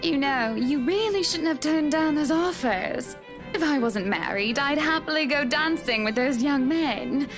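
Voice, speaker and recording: An actress playing a haughty, teasing married society hostess in a visual novel, speaking in an arch, genteel manner. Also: female voice, high-pitched. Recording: music behind the voice.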